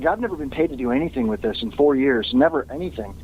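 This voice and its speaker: A man's voice: medium pitch, expressive, fast, narrow, tinny audio, a little hiss or hum. A man, a radio talk show host appearing as a guest, chatting casually with lots of fillers and frequent laughter. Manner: laid-back, laughs often, many fillers. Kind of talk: casual podcast banter.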